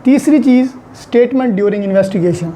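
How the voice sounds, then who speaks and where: Mellow Pakistani man teaching law. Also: very high-pitched, takes it slowly, a little hiss or hum. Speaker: law lecturer. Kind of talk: explanatory lesson with English legal terms mixed in.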